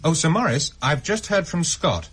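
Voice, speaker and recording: A dutiful intelligence officer in a radio drama, reporting to his superior. The voice is fairly high, husky and animated, with a deferential, businesslike manner. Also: moderate pace, full character voice. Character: brisk, dutiful intelligence subordinate.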